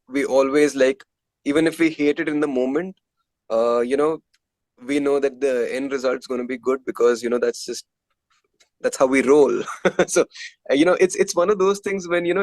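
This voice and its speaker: A man's voice: medium pitch, a little mellow, no room sound. Indian-accented man, emphatic, closely recorded. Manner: emphatic, repeats phrases for stress. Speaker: metal band guitarist and composer, interview guest. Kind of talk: candid interview chat.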